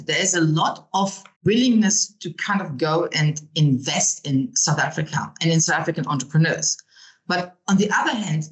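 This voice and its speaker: A middle-aged woman from Germany with a German accent, answering interview questions as a venture capital partner, often padding with fillers and repeated words. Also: fairly low pitch.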